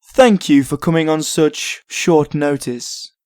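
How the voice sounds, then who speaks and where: An actor playing a vain, scheming prince in a comic dub, suave and self-satisfied. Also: male voice, on the high side, takes it slowly, a little mellow, dry recording, clean studio sound. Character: vain, smooth-talking, scheming broke prince.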